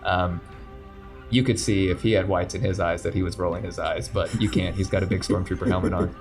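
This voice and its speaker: A man's voice: low-pitched, flat delivery, fairly quick, darkish tone, faint music behind. A man narrating as game master of a tabletop game, laid-back and rambling.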